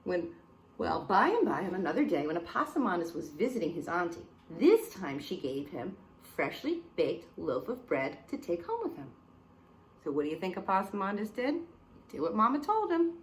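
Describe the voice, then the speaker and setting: A woman's voice: fairly low pitch, very animated, normal speed, a little hiss or hum, rough recording. A woman reading a children's picture book aloud, with playful asides to her young listeners.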